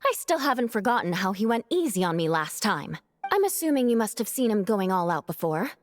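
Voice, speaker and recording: An actress playing a proud, aloof knight in a game, cool and clipped. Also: female voice, mid-range voice, sing-song, fairly quick, slight background noise, natural voice.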